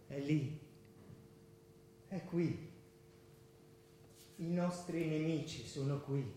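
Zealous young militant man, high voice, declamatory and insistent, echoey stage recording with faint music. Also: very slow, warm tone, a little hiss or hum. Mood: hard zeal. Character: zealous young jihadist man, pushing his friend toward war. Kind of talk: theatrical radio drama acting.

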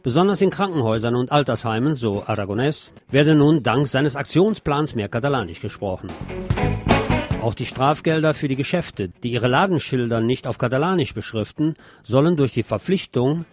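A man's voice: mid-range voice, lively intonation, normal speed, darkish tone. Male news reader over guitar music. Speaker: news presenter. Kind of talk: scripted news reading. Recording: music under the voice.

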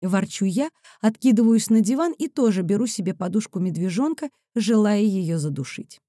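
A woman's voice: medium pitch, lively intonation, normal speed, mellow, no room sound, clean studio sound. A woman narrating first-person fiction expressively, as an audiobook reader.

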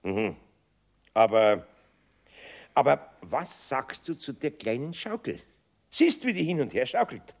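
An actor playing a good-natured, grumbling older master carpenter with a Bavarian accent, homely and patient, in a children's radio play. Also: male voice, mid-range voice, big pitch swings, slow, gravelly, long pauses, a little mellow, heavily acted. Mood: patient, firm.

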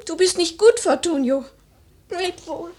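A woman's voice: extremely high pitch, expressive, unhurried, a little mellow. An actress playing a proud, melancholy, wounded young woman in mourning in a literary radio play, with grave theatrical phrasing. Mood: indignant.

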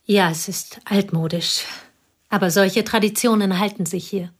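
A woman playing a reserved schoolteacher, the dead wife's confidante, in a radio play, speaking in a calm, measured way. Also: medium pitch, close to an everyday voice. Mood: restrained. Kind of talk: radio drama acting.

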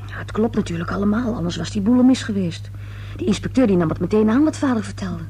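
An actress playing a sullen, sarcastic young daughter in a radio play, with a husky, breathy voice, curt sardonic delivery. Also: female voice, mid-range voice, lively intonation, fast talker, dark tone, a little hiss or hum, natural voice. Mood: probing, wry. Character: sullen, sarcastic grown-up daughter needling her parents.

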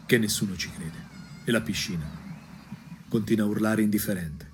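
A man from provincial Tuscany narrating a theatrical radio monologue, husky, dramatic and ironic, dropping into whispers. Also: lowish voice, moderate pace, a little hiss or hum. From provincial Tuscany, Italy. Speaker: narrator and actor.

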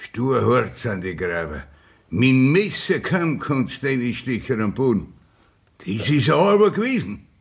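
An actor with a Bavarian accent playing a glum village gravedigger in a radio play, speaking in heavy dialect. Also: male voice, mid-range voice, sing-song, unhurried, slightly rough, full character voice. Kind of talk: 1960s radio play acting.

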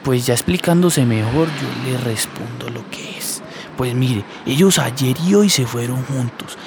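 A man's voice: medium pitch, a little slow, gravelly, background noise. A man playing an obliging, talkative local witness, speaking colloquially in a radio soap.

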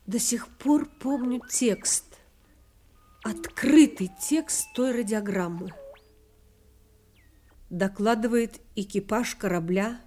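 An actress playing a solemn wartime radio operator, remembering events in deliberate, reflective radio-drama narration. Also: female voice, on the high side, warm tone.

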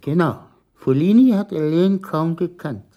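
An actor playing an evasive, troubled company manager in a radio crime drama, with a guarded, defensive way of talking. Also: male voice, a little high, animated, unhurried, dark tone. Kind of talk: 1980s German radio drama acting.

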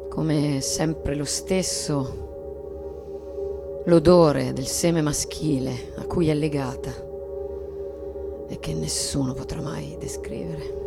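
A bitter, yearning bourgeois wife in a radio drama, confessing shame and submission. Her voice sits low and moves very slowly, hushed and deliberate. Music plays clearly behind her. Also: steady tone, exaggerated voice. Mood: bitter, confessional. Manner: slow, hushed, deliberate delivery with long pauses. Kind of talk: dramatic stage reading for radio. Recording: music and sound design behind.

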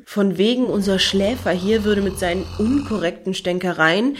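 An actress as a blunt, sardonic woman, medium pitch. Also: normal speed, slight background noise, natural voice. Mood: scornful. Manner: dry, needling, colloquial. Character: blunt, sardonic woman friend of a devoted fan. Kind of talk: radio play dialogue.

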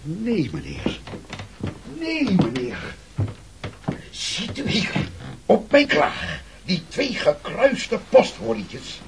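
An actor playing a proud, officious old-school postman of middle age in a radio play, creaky and self-important, on a noisy old recording. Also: high voice, wildly swooping pitch, very slow, pauses often, full character voice. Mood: proudly officious. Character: proud, dutiful old-school male postman, thirty years' service. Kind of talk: absurdist radio play acting.

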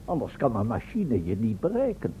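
An actor playing a pompous, long-winded inventor professor who lectures formally and corrects himself, in a Dutch radio play. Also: male voice, medium pitch, huge pitch swings, moderate pace, warm tone. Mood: lecturing. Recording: tinny band-limited old radio recording, faint noise.

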